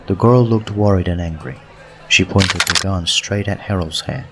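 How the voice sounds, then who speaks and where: Deep-voiced male audiobook narrator. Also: normal speed, a bit bright. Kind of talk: scripted story narration.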